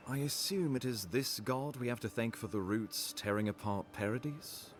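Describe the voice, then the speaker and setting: An actor playing an earnest, weary leader who demands answers in a video game. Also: male voice, mid-range voice, moderate pace, slight background noise, lightly acted. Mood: troubled, probing. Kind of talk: video game cutscene dialogue.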